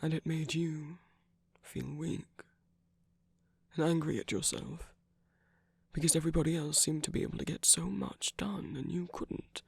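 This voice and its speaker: Male actor as gentle wry villain sorcerer, intimate ASMR roleplay, slightly high male pitch, creaky, breathy, hushed, measured pauses. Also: fairly even intonation, no room sound. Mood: gentle, reassuring. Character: gentle, wry villain magic user comforting a loved one.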